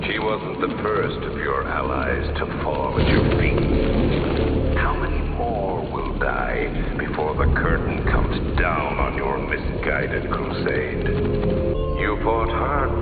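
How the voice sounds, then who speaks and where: A man playing a sinister, cold video game supervillain, a master of fear who taunts in slow, deliberate, ominous theatrical monologues. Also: rumbling low, heavily acted. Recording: dull, reverberant, distant, under loud music.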